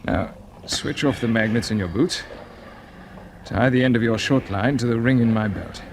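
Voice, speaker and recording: A man's voice: medium pitch, moderate pace, slight background noise, natural voice. Man acting commanding British space pilot, 1950s radio. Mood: brisk, steady. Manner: clipped, steady, instructive. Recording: vintage radio broadcast with music behind.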